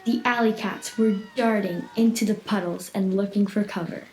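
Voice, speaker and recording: A woman narrates an atmospheric radio play. Her tone is dark and mellow at a medium pitch, in an echoey space with faint rain sounds. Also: fairly even intonation, moderate pace, a little hiss or hum. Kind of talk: scripted atmospheric story narration.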